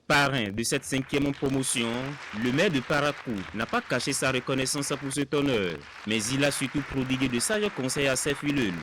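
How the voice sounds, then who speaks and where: A man's voice: medium pitch, normal speed, hardly any pauses, background noise. A man reading a scripted report as a news reporter.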